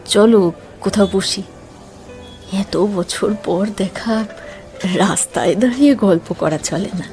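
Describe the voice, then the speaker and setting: Nostalgic middle-aged sweetheart for audio romance, female actor: soft, warm tone, very leisurely, reflective. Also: medium pitch, slow pace, soft background music. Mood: fond nostalgia. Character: gentle, nostalgic middle-aged woman meeting her old love. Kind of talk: Bengali romantic audio drama acting.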